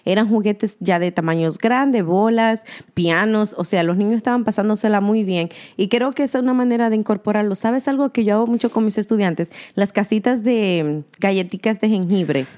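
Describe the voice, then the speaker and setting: A woman's voice: mid-range voice, moderate pace, a little mellow. A woman co-hosting a casual chat, a teacher who talks freely and drops English words into her Spanish. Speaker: co-host.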